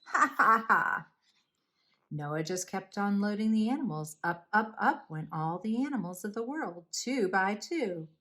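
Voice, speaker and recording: A woman narrating a bedtime story for young children, expressively and deliberately, with dramatic emphasis. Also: mid-range voice, expressive.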